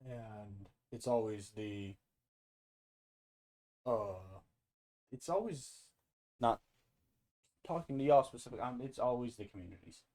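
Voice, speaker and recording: A man's voice: medium pitch, takes it slowly, pauses often, a little mellow, poor audio. A man hosting a casual reaction video, rambling and interrupting himself as he goes off on tangents.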